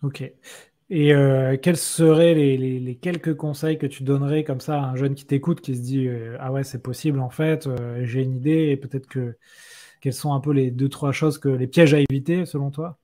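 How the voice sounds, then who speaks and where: Relaxed, mellow male podcast interviewer. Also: mid-range voice, normal speed. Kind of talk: casual conversational interviewing.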